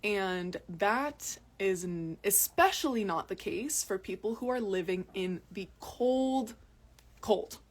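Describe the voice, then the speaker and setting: A woman's voice: medium pitch, lively intonation, slow pace. Young woman from massachusetts doing a live outside in the cold, chatty and easygoing, talks right into her phone. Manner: chatty, repeats words for stress. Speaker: health vlogger. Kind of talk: casual live talk to viewers. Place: outdoors in cold mountains.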